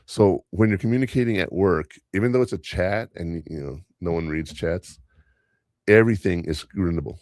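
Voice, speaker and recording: Gravelly deep-voiced male podcast host. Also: moderate pace, darkish tone, dry recording, studio quality. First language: Spanish. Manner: rambling, anecdotal, chuckles often. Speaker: podcast host and school teacher. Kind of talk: casual podcast chat.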